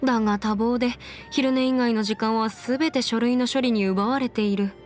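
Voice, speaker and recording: Woman voicing a high-pitched, self-assured young legal advisor. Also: steady tone, normal speed, a little mellow, lightly acted. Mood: admiring. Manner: self-assured, articulate, slightly boastful. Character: confident, clever young female legal advisor, proud and playful. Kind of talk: Japanese anime game character voice lines. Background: music.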